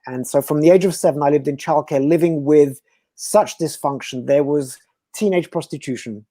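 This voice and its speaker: A man from Belgium, a guest speaker talking earnestly and philosophically in slow, emphatic phrases. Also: on the high side, dark tone, no echo. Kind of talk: reflective conversational talk.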